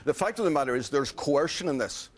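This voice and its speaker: A man's voice: a little high, lively intonation, fast. A man arguing combatively as a panel guest in a heated political debate. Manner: combative, interrupting.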